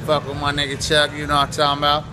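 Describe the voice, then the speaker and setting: A man's voice: a little high, completely flat delivery, rapid-fire. A man chatting casually in an interview, boastful and full of street slang. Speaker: rapper being interviewed. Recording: noisy outdoor recording with traffic.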